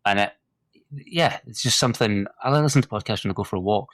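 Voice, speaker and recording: A man's voice: on the low side, quick pace, a bit bright, dry recording, clean studio sound. A middle-aged man hosting a podcast interview, chatty and encouraging, with plenty of fillers. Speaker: podcast host. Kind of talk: casual interview chat.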